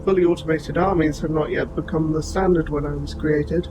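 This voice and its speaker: A man acts as an aging robot soldier with a fairly high male voice. He speaks in formal, measured, precise phrases, like a protective caretaker in an audio drama. Music plays clearly beneath the voice. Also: fairly even intonation, natural voice, low-quality recording. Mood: calm, informative. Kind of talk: scripted audio roleplay spoken to the listener.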